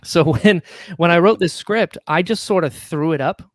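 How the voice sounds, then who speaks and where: A man's voice: fairly high pitch, moderate pace, no room sound, clean studio sound. A man chatting casually as an interview guest, enthusiastic and talkative, often chuckling as he goes. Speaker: guest, audio drama writer and actor.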